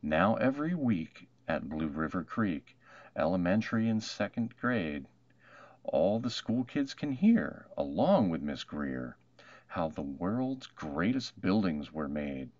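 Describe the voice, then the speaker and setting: Deep-voiced man reading a picture book. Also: no echo, highs cut off. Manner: expressive, measured storytelling. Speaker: storybook narrator. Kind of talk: rhyming picture book read-aloud.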